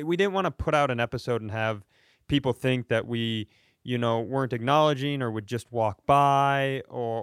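Male co-host, Minnesotan by upbringing, casual podcast talk, crisp tone, very dry recording. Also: medium pitch, dry recording.

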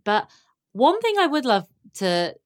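A woman's voice: on the high side, huge pitch swings, measured pace. A woman hosting a podcast, chatting casually and saying "like" often. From United Kingdom. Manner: chatty, frequent "like".

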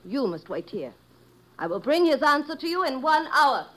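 A menacing femme fatale. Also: female voice, high-pitched, sing-song, normal speed, loud music behind the voice, rough recording. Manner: stilted formal English, threatening. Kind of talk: 1950s radio drama acting. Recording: old radio recording with noise.

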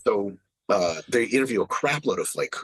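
A man's voice: fairly low pitch, lively intonation, normal speed, husky, no room sound. A man in his late thirties in casual podcast chat, ranting with emphatic, profane energy. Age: 30s. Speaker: fitness podcast regular.

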